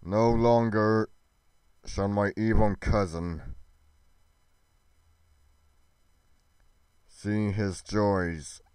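Righteous male god for game roleplay, man acting, grave, declamatory, smooth low voice. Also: flat delivery, darkish tone, no echo. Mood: resolute. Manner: solemn, declamatory, drawn-out. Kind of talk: amateur scripted roleplay.

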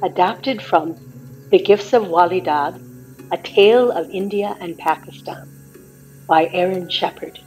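A woman reads a scripted title introduction as announcer. Her voice is somewhat low and very unhurried, with music behind it. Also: a little mellow, rough recording.

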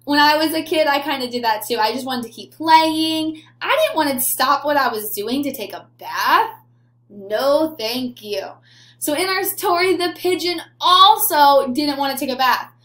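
A young woman, a teacher, explaining a story to small children in simple, expressive talk. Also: high voice, normal speed, echoey room. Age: young adult. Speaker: elementary school teacher. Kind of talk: read-aloud discussion addressed to young children. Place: small plain room indoors.

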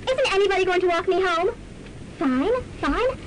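A woman's voice: extremely high pitch, expressive, normal speed. A woman playing a haughty, snippy young college woman in a campy student radio drama. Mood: snippy, aloof. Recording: noisy amateur student radio recording.

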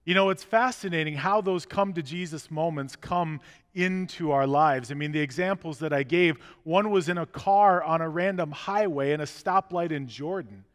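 A middle-aged pastor preaches in an easy, conversational way, tossing rhetorical questions to his congregation. His voice sits high for a man, and it rings with the long reverb of a big sanctuary. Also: moderate pace. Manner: gestures while walking about the chancel. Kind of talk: sermon. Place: large church sanctuary, indoors. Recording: long reverb of a church hall.

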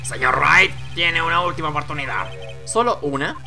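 A man voicing a stern old judge in a courtroom video game, speaking in a measured, formal manner. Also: fairly high pitch, lively intonation, vocal fry, over music. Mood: solemn.

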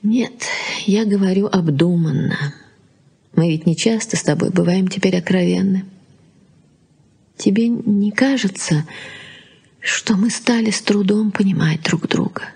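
An actress playing a thoughtful, weary middle-aged wife and mother in a Soviet radio play, speaking earnestly and deliberately. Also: medium pitch, gravelly, darkish tone, slightly rough, heavily acted. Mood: earnest, pained. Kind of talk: Soviet radio drama acting.

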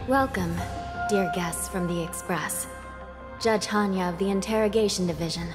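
A woman voicing a stern young female judge of the underworld in a video game, speaking formally and in measured tones, sometimes sinking into a soft, breathy near-whisper. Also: mid-range voice, natural voice. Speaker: game character. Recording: studio voice over music in long reverb.

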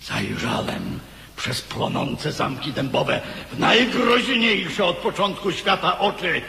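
A proud warrior king in a radio play, fierce and declamatory. His voice is forceful and creaky, swinging widely in pitch, in an echoing hall. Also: sing-song, takes it slowly, exaggerated voice. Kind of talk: theatrical radio drama acting. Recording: echoey hall with long reverb.

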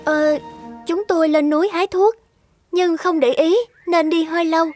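A woman voicing a gentle, courteous young lady of good family in a cartoon fairy tale. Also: very high-pitched, steady tone, moderate pace. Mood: curious, polite. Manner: courteous, sweet. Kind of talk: animated fairy tale voice acting. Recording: music under the voice.

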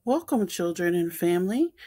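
A woman reading a children's picture book aloud as a storytime host, explaining things simply and deliberately for young listeners. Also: on the low side, sing-song, warm tone.

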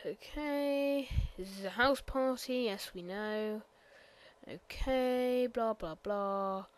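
Rambling high-voiced woman narrating gameplay. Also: slow. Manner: rambling, hesitant, full of ums and apologies; quiet, sometimes bursts out loud. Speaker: gameplay commentator. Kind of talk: casual game tour voiceover.

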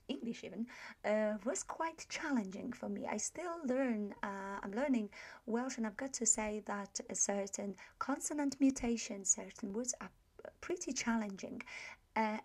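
A woman with a Polish accent, a native Polish speaker, narrating an online pronunciation lesson over slides and pausing often with fillers. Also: on the high side, a little mellow. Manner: hesitant, many fillers and restarts. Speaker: language teacher.

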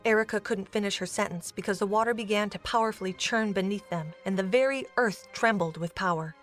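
A woman's voice: mid-range voice, a little fast, a bit bright. A woman narrating a scripted dramatic story as the narrator of an audio drama. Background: music.

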